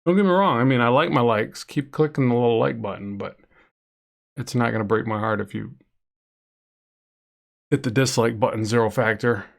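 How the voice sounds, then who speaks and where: A man's voice: medium pitch, moderate pace, creaky, long pauses. A man with a nasal voice chatting casually with viewers while drawing on a live stream, rambling and reading chat aloud. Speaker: comic artist streaming a drawing session.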